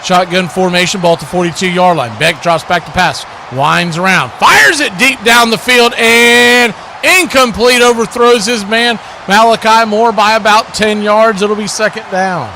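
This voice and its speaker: A man doing live play-by-play football commentary for a stream, in clipped phrases that grow excited on big plays. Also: sits high, a little slow, a little hiss or hum.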